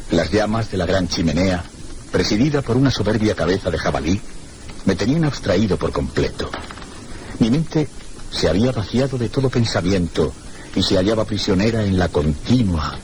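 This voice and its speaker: Low-voiced, melancholy Castilian storyteller. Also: male voice. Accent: Castilian Spanish. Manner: measured, reflective, literary, courteous. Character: melancholy, reserved one-armed male guest narrating his tale. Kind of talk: Spanish radio mystery drama, first-person narration. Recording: noisy old radio drama recording.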